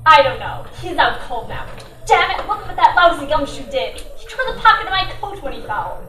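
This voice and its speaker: A woman's voice: high voice, big pitch swings, normal speed, fairly bright tone. A woman playing a scheming, bossy femme fatale who poses as a proper lady in a radio detective drama. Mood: annoyed, bossy. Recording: roomy, distant mic, noisy amateur recording.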